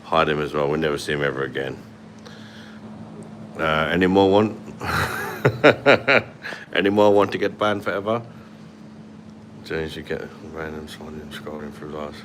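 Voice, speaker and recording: A man with a London accent, his voice sitting very low, chats loosely through a livestream from a hotel room at night. He is blunt and swears freely while reading out comments, holding the phone at arm's length, with a faint air-conditioning hum behind him. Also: measured pace, long pauses, a bit bright. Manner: blunt and sweary, laughs often. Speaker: livestream host. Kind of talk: casual live chat reading comments. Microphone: phone held at arm's length.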